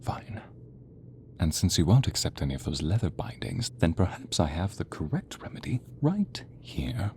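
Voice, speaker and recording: A man voicing a sadistic, theatrical sorcerer villain in an audio roleplay, silky and menacing, drawing out words and slipping into breathy whispers. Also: low-pitched, big pitch swings, normal speed, warm tone. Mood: clinical, controlled. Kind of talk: audio roleplay acting addressed to the listener.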